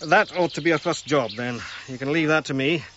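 An actor playing a keen, self-assured archaeologist in a radio drama, talking in a brisk, informal, hearty way. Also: male voice, fairly high pitch, a bit bright, dull audio, slight background noise, close to an everyday voice. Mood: hearty, persuasive.

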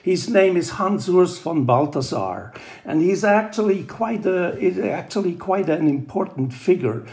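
An older man with a Swiss accent, a scientist guest speaking haltingly over the phone. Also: high voice, expressive, a little mellow. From Switzerland. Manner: halting, restarts often. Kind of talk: phone interview. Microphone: telephone.

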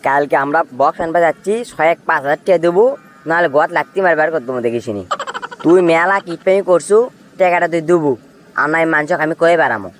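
A man plays a sly, freeloading young villager in a comic cartoon. His fairly high voice carries a Bogura accent. He talks quickly, needling and insisting, with faint noise behind him. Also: natural voice. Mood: demanding. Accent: Bogura, northern Bangladesh. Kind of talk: comic cartoon dialogue in rural dialect.

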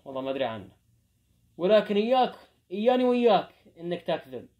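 High-voiced young vlog host. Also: male voice, sing-song, fast, mellow. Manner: chatty, addresses viewers directly. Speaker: channel host. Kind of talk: vlog challenge intro.

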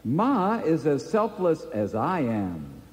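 Palindrome, a deadpan cowboy. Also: male voice, a little high, takes it slowly, big-room sound, exaggerated voice. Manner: terse, deadpan.

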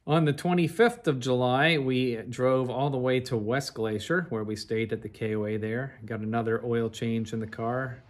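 A man's voice: medium pitch, steady tone, moderate pace, few pauses. Middle-aged man narrating a travelogue. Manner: recounts in an orderly, chronological, detailed way. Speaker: narrator of a travel slide show.

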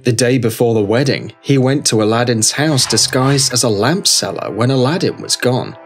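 A man's voice: medium pitch, normal speed. A man reading scripted narration for a children's fairy tale. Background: background music.

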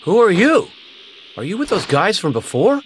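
An actor playing a bewildered, good-hearted martial arts hero in an anime game. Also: male voice, sits high, big pitch swings, normal speed, slight background noise. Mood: wary. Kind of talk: English anime game voice acting.

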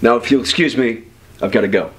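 A man's voice: medium pitch, quick pace, heavy background noise, lightly acted. A young man acting a smug, slangy, shady stranger offering magical deals in an amateur short film.

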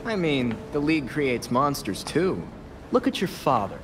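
A young man playing an earnest, sharp vigilante hero in a video game, inquisitive, wry and challenging in manner. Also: medium pitch, very animated, a little slow, over music. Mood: accusing. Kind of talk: video game cutscene acting.

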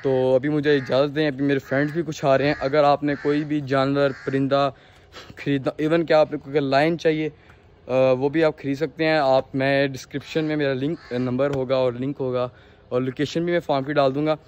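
A young man with a Pakistani accent, talking casually and haltingly to viewers as a farm-owning vlogger, outdoors on a phone. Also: medium pitch, flat delivery, darkish tone, muffled recording, slight background noise. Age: young adult. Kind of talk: casual vlog tour, explaining to viewers. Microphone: handheld phone at arm's length.

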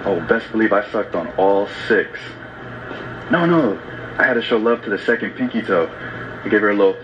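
A man's voice: fairly low pitch, expressive, fairly quick. Young guy faking a phone call, brazen n slangy, muffled lo-fi audio w music under it. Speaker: prankster. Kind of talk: staged loud fake phone call. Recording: dull lo-fi playback with music behind.

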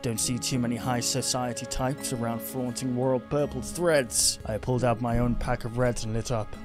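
A man voicing a brooding, haunted, self-doubting noir detective from a video game, in measured, moody hard-boiled narration. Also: mid-range voice, flat delivery, over music, close to an everyday voice. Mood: moody, weary.

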